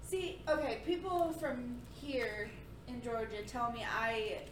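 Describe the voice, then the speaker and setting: Playful podcast co-host, woman with a higher voice, heard a bit off-mic in an echoey room. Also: expressive, takes it slowly, distant mic, heavy background noise. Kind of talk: casual chat.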